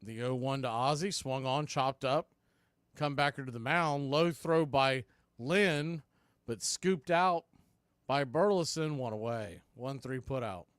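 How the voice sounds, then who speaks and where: A man's voice: mid-range voice, measured pace, clear, resonant tone, no echo, studio quality. A man doing live play-by-play baseball commentary as a radio host.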